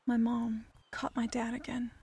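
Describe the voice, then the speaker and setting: A woman playing a troubled young waitress in an audio drama, hushed, breathy and halting, often near a whisper. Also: fairly high pitch, little pitch movement, heavily acted. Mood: shaken, hushed. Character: troubled, wounded young waitress daughter confiding a secret. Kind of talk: audio drama acting, ASMR.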